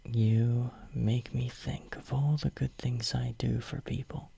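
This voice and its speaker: A man playing a devoted, possessive young hero in a romantic ASMR roleplay, murmuring tenderly and intimately in a breathy whisper close to the microphone. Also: medium pitch, flat delivery, slow pace, dark tone, full character voice. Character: devoted, possessive young male hero soothing his lover.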